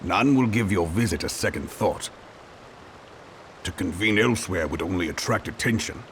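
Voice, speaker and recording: An actor playing a gruff older male ex-gladiator turned politician in a game cutscene, hearty and bluff in manner. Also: deep voice, expressive, moderate pace, fairly bright tone, a little hiss or hum. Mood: businesslike. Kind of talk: video game cutscene voice acting.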